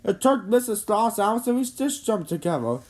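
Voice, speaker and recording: A woman's voice: mid-range voice, sing-song, normal speed, a little mellow, slight background noise, voice pushed up, close to an everyday voice. A woman voicing a hearty, exclamatory old Santa Claus in a storybook. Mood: explaining.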